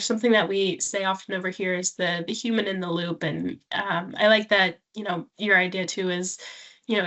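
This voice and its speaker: A woman's voice: medium pitch, fairly bright tone. A woman hosting a podcast interview over a remote video call, speaking casually and conversationally. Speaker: podcast host.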